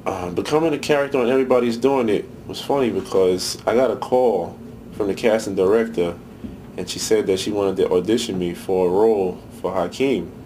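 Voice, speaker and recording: A man's voice: fairly low pitch, brisk, warm tone, a little hiss or hum, rough recording. A man from Long Island, an actor, talking casually in an interview. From Long Island, New York. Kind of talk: casual behind-the-scenes interview.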